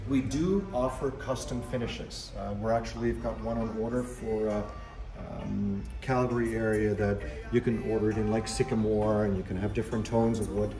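A man's voice: on the low side, steady tone, moderate pace. A man in his fifties giving an unscripted, conversational product presentation, explaining as he goes. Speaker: company representative presenting a product. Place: hotel conference room at a trade show. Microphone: camera mic a few steps away. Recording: roomy sound with background music.

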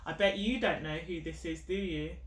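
A woman's voice: fairly low pitch, normal speed, a little hiss or hum. A woman in her thirties reading a picture book aloud to a child, encouraging and explaining things simply. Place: living room sofa at home, indoors. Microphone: camera a step or two away. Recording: echoey room, distant mic.

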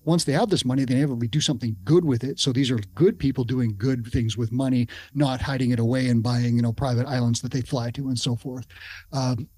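A middle-aged man hosts a business podcast, talking in a measured, conversational way. His voice is recorded dry and close on a studio microphone, with no room sound around it. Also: mid-range voice, fairly even intonation, dark tone, breathy edge, dry recording. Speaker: podcast host. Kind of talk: casual podcast interview. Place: home studio with shelves. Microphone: studio podcast mic with headphones.

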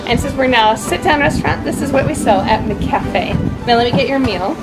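A young woman playing a perky, scheming fast-food worker in an exaggerated pretend-play skit for children. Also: sits high, expressive, low-quality recording. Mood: cheery, welcoming. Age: young adult. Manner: exaggerated, chirpy. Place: outdoors in a backyard. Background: loud music.

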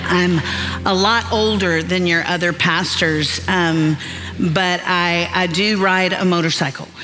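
Woman in her late fifties, folksy sermon storyteller, echoing hall, soft music underneath. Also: on the low side, slow pace. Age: 50s. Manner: animated, folksy, self-deprecating humor. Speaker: Bible teacher. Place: large church stage with live audience.

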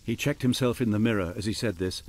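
A man's voice: lowish voice, fast talker, slight background noise. A man narrating a radio drama in a scripted storytelling style.

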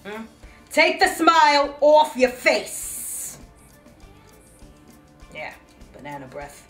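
A woman's voice: mid-range voice, expressive, normal speed, fairly bright tone, soft background music, lightly acted. A woman playing a mean, petty store manager, scolding and threatening in a comedy sketch. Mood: scolding, menacing. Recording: echoey room, distant mic.

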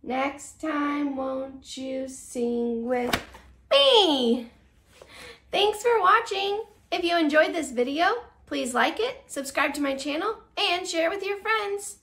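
A woman preschool teacher reads a picture book aloud in a very high voice. Her delivery is slow and sing-song, with big swoops of pitch. She exaggerates the storytelling for young children. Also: animated, fairly bright tone, slight background noise. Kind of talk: animated children's read-aloud. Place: small living room, seated on a couch.

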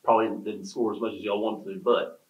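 Man, low-pitched voice, sports reporter, press conference questions, indoor room. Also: flat delivery, brisk, muffled recording.

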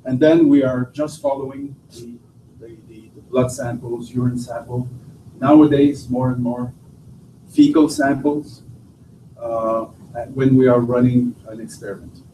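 A middle-aged French-speaking man from Quebec gives a university seminar talk in accented English, often pausing and restarting his phrases. His voice is mellow and sits at an ordinary pitch. It is heard from some distance in an echoey lecture room. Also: fairly even intonation, a little hiss or hum. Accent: Québécois French. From Quebec, Canada. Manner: halting, many restarts and fillers. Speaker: guest lecturer, professor. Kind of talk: academic seminar talk with slides. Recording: distant, roomy lecture hall audio.

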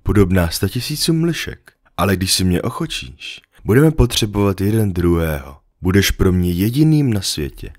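A man reading a storybook, voicing a lonely, patient female fox in a soft, earnest, coaxing way. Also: low voice, big pitch swings, few pauses, no echo, clean studio sound. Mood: earnest, wistful.

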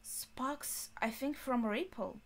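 A young adult woman giving casual reaction commentary, thinking aloud haltingly in short phrases. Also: high voice, vocal fry. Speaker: anime reaction host. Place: small bedroom indoors.

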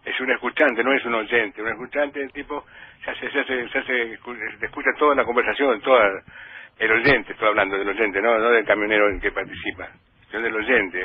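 A man's voice: fairly low pitch, husky, no echo. A man from Santa Fe with a provincial Argentine accent, a radio humorist chatting on the phone, rambling and repeating his words. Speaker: humorist and overnight radio presenter, interviewed by phone. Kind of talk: comic chat, telling jokes. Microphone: phone call. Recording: muffled, narrow phone line.

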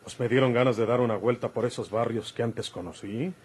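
A man's voice: mid-range voice, fairly quick, a little mellow, breathy edge, close to an everyday voice. An actor playing an older, rustic Mexican outlaw father, folksy and evasive, in an old radio drama. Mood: evasive, genial. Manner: folksy, rural colloquial speech. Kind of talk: golden-age Mexican radio drama acting. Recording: noisy vintage radio recording.

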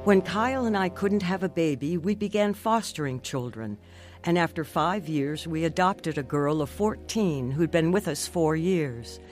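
A woman's voice: lowish voice, animated, normal speed, a little mellow, faint music behind, lightly acted. An actress playing a determined woman who narrates her own recovery in a scripted radio drama. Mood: warm recollection.

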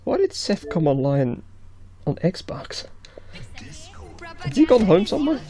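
A woman's voice: on the low side, big pitch swings, a little slow, warm tone, soft background music. A woman bantering casually with friends as she plays an online game. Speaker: gamer in a group voice chat.

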